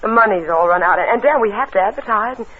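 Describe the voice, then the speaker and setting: A woman's voice: medium pitch, animated, quick pace, lightly acted. An actress playing a fragile, hesitant but hopeful young woman in an old radio drama. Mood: hesitant, anxious. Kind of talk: 1940s-50s radio drama acting. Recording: muffled old radio recording, faint noise.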